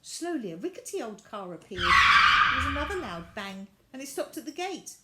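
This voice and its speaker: Animated, high-voiced woman storyteller. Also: normal speed, heavy background noise. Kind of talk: expressive children's story narration.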